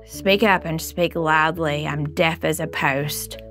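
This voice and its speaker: A woman voicing a cross, half-deaf old male owl with a scratchy voice, curt and slow. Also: low-pitched, fairly even intonation, fairly bright tone, soft background music. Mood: grumpy.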